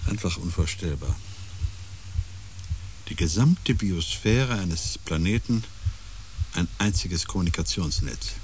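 A sober, analytical scientist in a science fiction radio drama. His very deep voice is deliberate and pondering, over faint background ambience. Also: animated, full character voice. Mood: absorbed, marvelling. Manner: deliberate, matter-of-fact, pondering. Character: sober, analytical male scientist on an alien planet. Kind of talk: German radio play acting. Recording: noisy with ambient sound.

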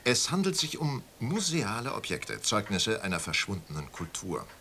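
A cynical old wine dealer, low voice, bright edge, swings up and down a lot, knowing chuckle in it. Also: male voice, normal speed, a little hiss or hum, exaggerated voice. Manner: amused, animated, knowing. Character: shrewd older male wine auctioneer, cynical. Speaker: auction house owner. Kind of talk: radio play acting.

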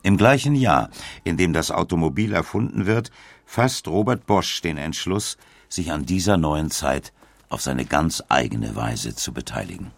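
Male narrator for scripted documentary, bass register, gravelly, recorded bone-dry. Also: expressive, a little slow, no echo.

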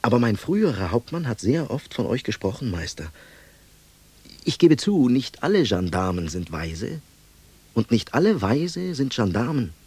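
A male gendarme of the radio play, husky and very lively in pitch. He blusters with official authority yet is deferential and given to philosophy. The sound is close and dry, the delivery theatrical. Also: moderate pace, dry recording. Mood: reverent, admiring. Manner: theatrical, shifting from barked orders to reverent musing. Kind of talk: German radio drama acting.

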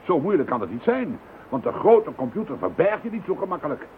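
A man's voice: a little high, wildly swooping pitch, brisk. Een man die in een hoorspel een sceptische, kordate hoofdinspecteur van politie speelt. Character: gruff skeptical male police chief inspector. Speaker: chief inspector. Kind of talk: radio play acting. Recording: lo-fi phone-band radio recording with faint hiss.

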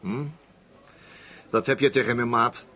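A man's voice: medium pitch, fairly even intonation, measured pace, pushed and bright. A man acting a sober, sceptical workmate in a radio play, terse and questioning. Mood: probing, sober.

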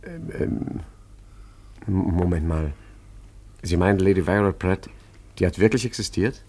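Urbane evasive forger, radio play, lowish pitch, hesitant, wry, politely ironic. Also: male voice, measured pace, dark tone. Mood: flustered, wry. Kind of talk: German radio play narration and dialogue.

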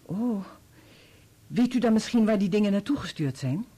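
An actress playing a polite, determined wife in a Dutch radio play, husky and purposeful. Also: female voice, medium pitch, lively intonation, normal speed, mellow. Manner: courteous, purposeful. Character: polite, determined wife seeking help for husband.

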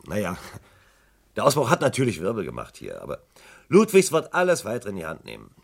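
An actor playing a gruff, middle-aged police chief inspector in a German radio drama, brusque and grumbling. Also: male voice, on the low side, huge pitch swings, brisk, heavily acted. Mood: placating.

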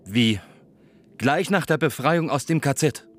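A man's voice: on the high side, lively intonation, unhurried. An actor playing a naive, easily indignant male visitor on a tour in a German radio play. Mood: shocked, defensive. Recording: noisy ambient background. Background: ambient noise.

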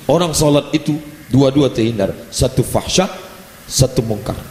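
Animated preacher in an echoing hall. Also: male voice, mid-range voice, normal speed. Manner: lively, animated delivery with playful asides. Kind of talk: religious lecture. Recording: roomy hall echo, faint ambience.

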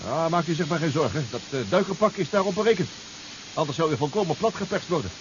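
An actor playing a calm, formal professor who pilots a submarine in a 1970s radio drama, measured and reassuring. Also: male voice, fairly high pitch, close to an everyday voice. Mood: focused, instructing. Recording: muffled old radio recording with clear noise.

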